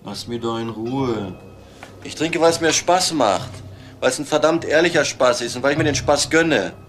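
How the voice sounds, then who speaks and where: An actor playing a weary, hard-drinking man turned burglar, terse, hesitant and low-key, in a German crime drama. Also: lively intonation, moderate pace, a bit bright, soft background music, close to an everyday voice. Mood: sullen defiant. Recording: noisy drama soundtrack with ambience.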